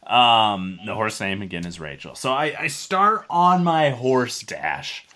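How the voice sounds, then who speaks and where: A man's voice: mid-range voice, sing-song, slow pace, bright, forceful tone. A man telling a story casually as a podcast co-host, rambling and swearing freely.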